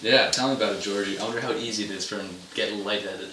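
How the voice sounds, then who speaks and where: A man's voice: fairly low pitch, fast talker, sharp tone. A young man playing a chummy, bragging old buddy with a hearty, casual delivery in an amateur stage play. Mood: mocking. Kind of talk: amateur one-act stage play dialogue. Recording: echoey room, distant mic, noticeable noise.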